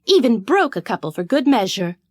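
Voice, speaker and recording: A woman's voice: a little high, sing-song, brisk, darkish tone, dry recording, clean studio sound. A woman playing a gossipy, confiding salon guest in a video game, chatting conversationally. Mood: gossiping. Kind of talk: scripted game voice acting, storytelling chat.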